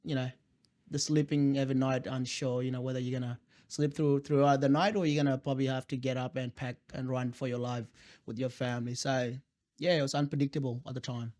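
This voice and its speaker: Flat-voiced man from South Sudan. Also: mid-range voice, fairly quick. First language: Dinka. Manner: hesitant, many fillers and restarts. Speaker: guest. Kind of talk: interview storytelling.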